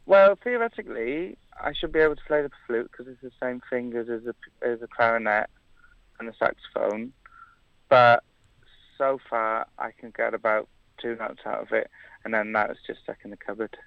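A man's voice: medium pitch, normal speed, creaky, no echo, lo-fi sound. A man from Wales, a musician, chatting casually in an interview, hesitant with many fillers and restarts. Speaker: musician guest.

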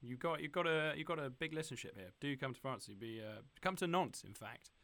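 A man's voice: medium pitch, lively intonation, brisk, a bit bright, no room sound. A man hosting a radio interview, friendly and encouraging toward his guest.

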